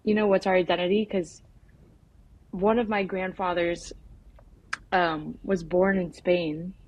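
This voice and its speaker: A young woman from the United States answering interview questions as a documentary filmmaker, in a casual, halting, informal way full of filler words. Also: lowish voice, steady tone, a little mellow, lo-fi sound. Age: young adult.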